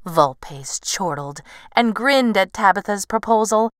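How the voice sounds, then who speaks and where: A woman's voice: medium pitch, lively intonation, unhurried, clean tone, no room sound, studio quality. A woman narrating a scripted story in a storybook style. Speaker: storyteller, voice actor.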